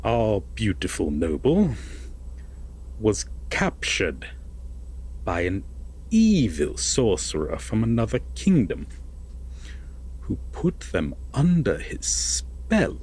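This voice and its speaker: A man playing an obsessive, possessive lover in an intimate roleplay audio drama, speaking deliberately and coaxingly with dramatic pauses and a husky voice. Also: on the low side, huge pitch swings, darkish tone, exaggerated voice, low-quality recording. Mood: ominous storytelling. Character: possessive, obsessive lover telling a veiled fairytale.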